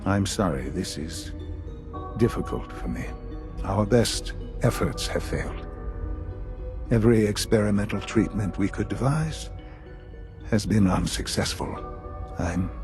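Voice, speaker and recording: An actor playing a grave older institute leader in a video game, speaking formally and deliberately with measured pauses. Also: male voice, deep voice, expressive, music in the background. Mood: grave, solemn. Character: grave older male leader of a secretive science institute, dying.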